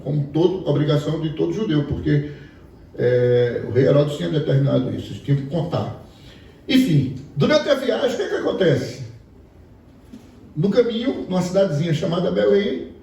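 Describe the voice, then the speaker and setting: A man's voice: fairly high pitch, gravelly, warm tone, recorded from a distance. A man from Pernambuco with a Pernambuco accent, preaching a sermon in a storytelling way and asking the congregation questions. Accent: Pernambuco Portuguese. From Pernambuco, Brazil. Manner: storytelling, asks the audience questions. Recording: echoey hall, voice from afar.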